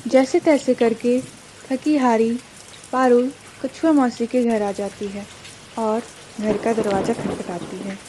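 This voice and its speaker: A woman's voice: on the high side, fairly even intonation, slow. A woman reading scripted narration for a children's cartoon story, with rain noise behind her.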